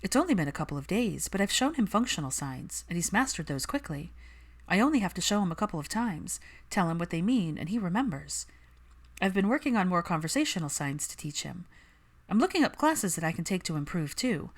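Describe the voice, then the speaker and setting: A woman's voice: fairly low pitch, sing-song, a little fast. A woman voicing an earnest, strong-willed young witch with a firm, argumentative manner in audiobook dialogue.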